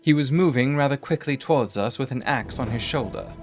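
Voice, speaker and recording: A man reads a first-person adventure story as its narrator. His delivery is measured and formal with a literary feel, in a mid-pitched voice over music.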